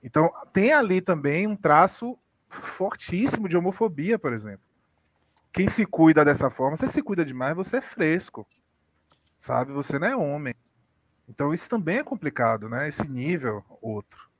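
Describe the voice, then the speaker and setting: A Bahian man Brazil's Northeast talks casually as a panelist, sharing opinions. His pitch is slightly high and moves around a lot, in a dry recording. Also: big pitch swings, moderate pace, no room sound. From Salvador, Brazil. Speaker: panelist in a group discussion.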